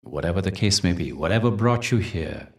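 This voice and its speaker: A man hosting a guided meditation, speaking and telling stories in a gentle, deliberate, soothing way with dramatic pauses. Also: sits low, lively intonation, far from the mic. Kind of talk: guided meditation talk with storytelling.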